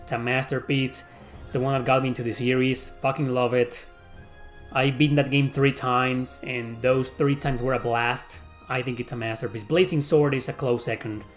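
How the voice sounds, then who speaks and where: A middle-aged man with a Mexican accent chats through viewer questions at a mid pitch. He talks bluntly, swears freely and rambles with lots of fillers. Faint music plays underneath. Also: fairly even intonation, normal speed. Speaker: YouTube host answering viewer questions. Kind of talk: casual Q&A chat.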